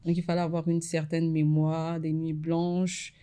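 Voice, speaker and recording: A woman's voice: low-pitched, flat delivery, warm tone, smooth. Woman guest recounting her studies. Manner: measured, repeats "effectivement" often. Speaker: guest, environmental lawyer. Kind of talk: casual interview.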